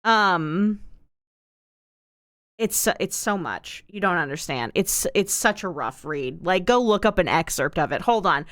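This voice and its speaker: A woman's voice: lowish voice, expressive, a little slow, a bit bright, no room sound, studio quality. A woman livestreamer rambling casually to her viewers in a wry way, leaning on and repeating words with exaggerated emphasis.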